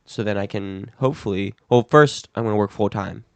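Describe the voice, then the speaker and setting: A young man co-hosting a casual chat podcast, relaxed and rambling, with a slightly stuffy nose from a cold. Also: on the low side, a little fast, gravelly, dry recording. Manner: relaxed, rambling, laughs easily. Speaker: podcast co-host.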